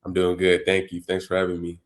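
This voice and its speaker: A teenage boy from Congo talks in a very low, nearly monotone voice. He rattles along quickly, looping through fillers like "you know" and "and all that" as he answers. His delivery is plain and loose, not polished. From Democratic Republic of the Congo. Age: teens. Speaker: high school football recruit. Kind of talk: casual interview answers.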